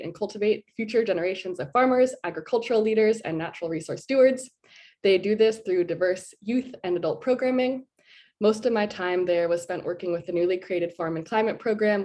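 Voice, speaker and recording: A young woman with a head cold, sounding a little sniffly, giving a prepared presentation on her service term in an earnest, measured way with occasional ums. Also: mid-range voice, a little mellow.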